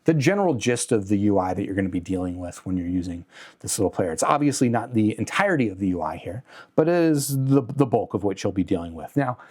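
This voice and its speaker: A man's voice: lowish voice, expressive, quick pace, darkish tone. A man presenting a product review in a casual, unscripted way, conversational and candid, with a mildly critical bent.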